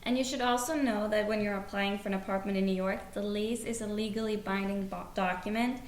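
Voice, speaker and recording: A woman's voice: medium pitch, steady tone, fairly quick. A young woman co-hosting a podcast, explaining things in an earnest, plain way. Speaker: podcast co-host. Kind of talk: scripted podcast chat. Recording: echoey room, faint noise.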